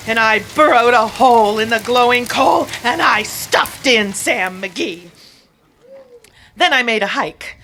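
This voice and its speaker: A woman performs narrative verse live in a theatrical, emphatic style. Her voice is fairly high and bright, and she takes her time over each phrase. Slight background noise sits behind the recitation. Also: poor audio. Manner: theatrical, emphatic, with hushed asides. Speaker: poetry reciter. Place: live performance before listeners.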